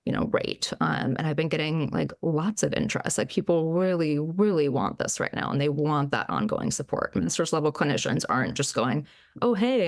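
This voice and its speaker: A woman in her thirties talks casually as a psychologist guest, packing her speech with "like" and "you know." Her voice carries plenty of vocal fry, and the recording is close and dry with no room sound. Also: deep voice, dry recording. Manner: chatty, frequent "like" and "you know" fillers. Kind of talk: casual interview conversation.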